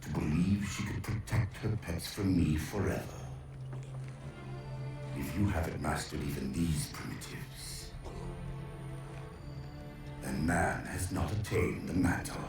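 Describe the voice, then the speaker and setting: An actor playing an ancient, vengeful male alien warlord, speaking in grandiose, contemptuous pronouncements with a deep, slow, menacing voice. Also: music in the background. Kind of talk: video game cutscene acting. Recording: cavernous echo, distant, under loud score.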